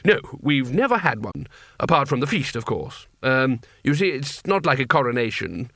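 A pompous senior wizard, as a male narrator plays him, eager to please and easily flustered. His speech is hesitant and lilting, with lively pitch, in a close, dry storybook recording. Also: moderate pace, no echo. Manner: hesitant, stammering, ingratiating.

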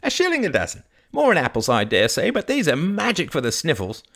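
A man's voice: a little high, wildly swooping pitch, fairly quick, creaky, fairly bright tone, voice pushed up, exaggerated voice. A man voicing a jovial, chatty old street fruit vendor with folksy, exclamatory patter, in an audiobook. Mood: chirpy salesmanship. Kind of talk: audiobook character voice.

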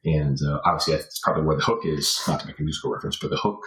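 Low-voiced man in an echoey room. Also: little pitch movement, racing, a bit bright. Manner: rambling, thinking aloud. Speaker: podcast guest. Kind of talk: casual, reflective chat. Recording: roomy, echoey sound.

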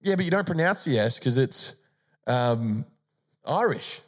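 A man's voice: medium pitch, expressive, a bit bright. A man co-hosting a podcast, joking and riffing in casual banter with frequent laughs. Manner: joking, laughs a lot, riffs quickly. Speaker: podcast co-host.

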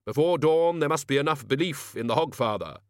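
A male narrator performs a grim, humourless immortal in a comic fantasy storybook. He speaks gravely and deliberately, as if each word were fact. The recording is dry. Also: fairly high pitch, animated, no room sound, voice pushed up. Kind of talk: audiobook character acting.

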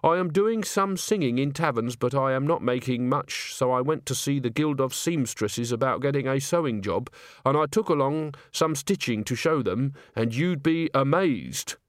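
A man's voice: mid-range voice, lively intonation, normal speed, no echo, studio quality, natural voice. A man voicing a sensible, earnest and slightly weary young country woman in a storybook reading. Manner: careful, earnest.

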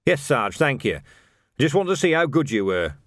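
A man's voice: mid-range voice, sing-song, moderate pace, no room sound, studio quality, pitched above the performer's own voice, natural voice. Man playing a cocky, needling police constable. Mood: cool. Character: cocky, challenging male police constable, skilled fighter.

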